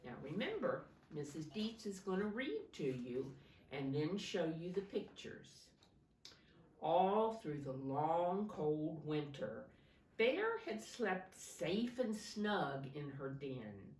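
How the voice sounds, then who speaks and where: A woman's voice: deep voice, very animated, slow, a little mellow, slight background noise. A woman preschool teacher reading a story aloud to young children, explaining in simple words and asking them questions. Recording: echoey room, slightly distant microphone.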